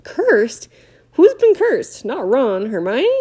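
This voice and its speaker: A woman voicing a huge gruff gamekeeper who talks loudly and bellows, in a storybook reading. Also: high voice, fairly even intonation, measured pace, pitched above the performer's own voice. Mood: alarmed. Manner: loud, bellowing.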